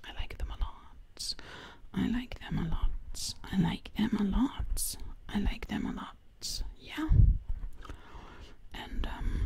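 A woman's voice: mid-range voice, creaky, dark tone. A young woman doing ASMR role-play as a sweet zombie princess, whispering breathily and close to the mic, lingering on repeated words. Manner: very slow, lingering word repetition. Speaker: ASMR creator. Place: dim living room, indoors. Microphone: close-up ear-to-ear microphone.

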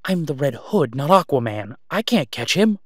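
A man's voice: on the high side, sing-song, moderate pace, no echo, clean studio sound. A man playing a sardonic, exasperated but protective vigilante antihero in an audio roleplay, with a weary, clipped manner. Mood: exasperated, outraged. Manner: sarcastic, weary, clipped, emphatic when irritated. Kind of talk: solo audio roleplay acting, conversational.

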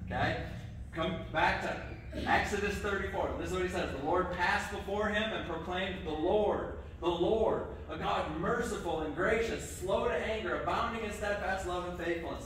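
A man's voice: on the high side, a little hiss or hum. A middle-aged man giving a children's sermon, asking the kids questions and checking in with "okay" as he goes. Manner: lively, asks the kids questions, says okay often. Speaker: pastor. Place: church sanctuary with congregation. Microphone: far camera. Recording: echoey church from far off.

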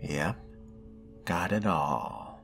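A man voicing a composed, wry vampire fledgling in video game role-play, musing in a measured, hushed way. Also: low voice, lively intonation, creaky, exaggerated voice. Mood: terse, calm. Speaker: player role-playing the protagonist. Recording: loud game music behind.

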